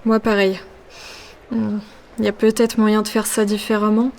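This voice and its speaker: A woman's voice: medium pitch, little pitch movement, normal speed, vocal fry, darkish tone, close to an everyday voice. A woman playing a practical insect adventurer in an audio drama, matter-of-fact and conversational. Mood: curious, discreet.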